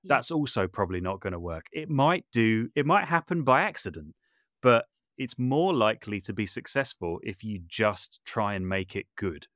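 Low-voiced man in thoughtful conversation. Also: animated, normal speed, a bit bright, dry recording, clean studio sound. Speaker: podcast guest, audio drama maker and composer. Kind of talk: reflective interview conversation.

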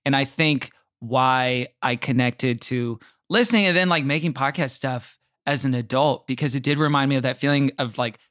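A man's voice: medium pitch, normal speed, hardly any pauses, a bit bright, no echo, studio quality. A man chatting casually as a comedic podcast guest, rambling and joking as he interrupts himself.

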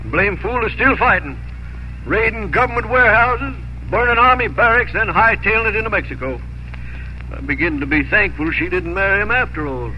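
A man's voice: sits high, expressive, normal speed. An actor playing a folksy, grumbling older Texan father in a 1950s radio drama. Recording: dull, noisy 1950s radio recording.

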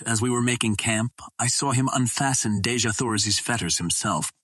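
A man reading a first-person adventure novel aloud in a measured, literary storytelling delivery. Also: mid-range voice, a bit bright, husky, no room sound. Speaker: audiobook reader.

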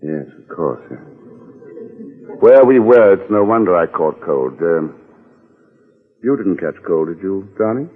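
An actor playing a suave, wry and persuasive secret agent in a 1940s radio drama. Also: male voice, low-pitched, expressive, measured pace, long pauses. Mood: tender, evasive. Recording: muffled, narrow-band old radio recording, reverberant.

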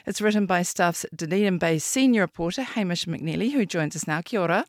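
Woman host, New Zealand accent, dry studio sound, clear presenter voice. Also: on the low side, animated, fairly quick, no echo. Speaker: podcast host. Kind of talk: scripted intro and interview questions.

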